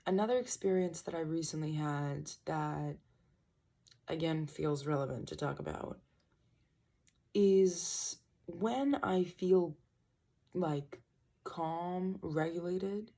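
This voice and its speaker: Young woman, tarot reader; low, husky, loose and chatty delivery. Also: slow, long pauses, dark tone. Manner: rambling, trailing off, self-interrupting. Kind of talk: casual tarot reading chat. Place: small home room at night, birds outside. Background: birds chirping.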